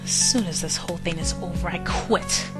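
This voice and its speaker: A woman's voice: fairly low pitch, normal speed, lightly acted. A woman playing an exasperated but loyal assistant to a scientist in a scripted audio drama. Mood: fed up. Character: exasperated, loyal female assistant, animal character. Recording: distant from mic, clear music behind.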